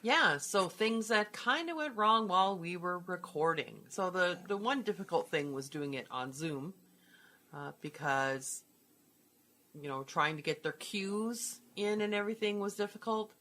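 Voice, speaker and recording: A woman's voice: lowish voice, lively intonation, measured pace, bright, forceful tone. A woman co-hosting in casual, conversational chat. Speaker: co-host, co-producer.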